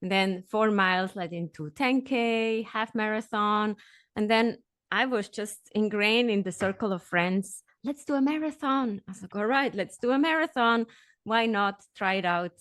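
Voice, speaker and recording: A middle-aged woman who grew up in Switzerland tells her story as a podcast guest. She talks in an upbeat, animated way at a medium pitch. The sound is dry and close. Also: normal speed, no room sound. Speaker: podcast guest, executive coach. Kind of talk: conversational interview.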